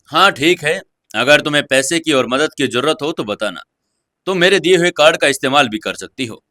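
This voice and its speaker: Man acting male CEO husband, audio story, conciliatory, measured, earnest, bright, dry close sound. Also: fairly high pitch, animated, dry recording, voice pushed up. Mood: gentle, relieved. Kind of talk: Hindi audio story dialogue.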